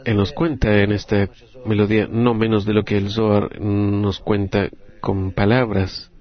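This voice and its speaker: A man with a Latin American Spanish accent interpreting a group discussion live, in halting, phrase-by-phrase delivery. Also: lowish voice, flat delivery, lo-fi sound.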